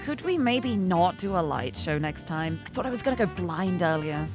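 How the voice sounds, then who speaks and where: Brief: a woman doing a wry male mentor thief, dry and teasing, animated delivery. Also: fairly low pitch, normal speed, over music, voice pushed down. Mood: snarky. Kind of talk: visual novel character reading.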